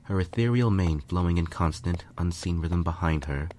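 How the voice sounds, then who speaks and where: A man's voice: low-pitched, moderate pace, a little mellow, slight background noise. A man reading a first-person fan fiction story as scripted narration.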